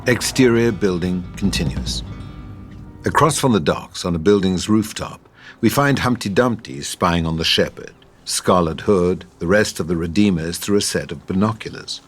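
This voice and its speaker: A man's voice: low voice, moderate pace, breathy edge, faint music behind. A man giving scripted narration of stage directions for an audio drama.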